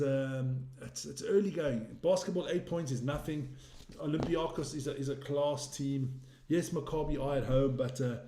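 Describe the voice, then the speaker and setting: A man's voice: a little high, a little mellow. Chatty South African man in an echoey room. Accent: South African English. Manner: rambling, chatty, reading viewer comments aloud. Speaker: livestream host. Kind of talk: casual live-stream commentary.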